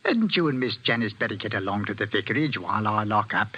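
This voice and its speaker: An actor playing a superstitious village greengrocer in a radio mystery, with a rustic working-class English accent and a deferential, nervous, stammering manner. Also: male voice, lowish voice, animated, fast, husky. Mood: stubborn, wary. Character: superstitious, blustering village greengrocer, a male church helper. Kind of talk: 1940s radio mystery acting. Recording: dull, lo-fi vintage radio recording.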